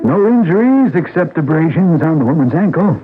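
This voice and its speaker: An actor playing a calm, matter-of-fact male doctor in a 1980s radio drama. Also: a little high, normal speed. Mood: measured. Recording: tinny, very noisy old radio broadcast.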